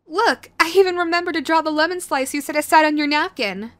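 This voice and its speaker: A woman playing a sweet, clingy yandere girlfriend in an audio roleplay, nervous and halting, gushing and apologetic as she tries to reform. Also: high-pitched, fairly bright tone, no room sound. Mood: proud, affectionate. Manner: halting, gushing, apologetic, swinging between eager and sheepish. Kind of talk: romantic audio roleplay, acted to the listener.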